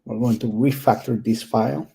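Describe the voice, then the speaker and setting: Puerto rican guy with a thick accent walking through his code, kinda husky, lots of ums, casual and chatty. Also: mid-range voice, fairly even intonation, normal speed, dark tone, rough recording. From Puerto Rico. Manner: casual and candid, thinking aloud with many fillers. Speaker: tech YouTuber. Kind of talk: screen-recorded coding walkthrough.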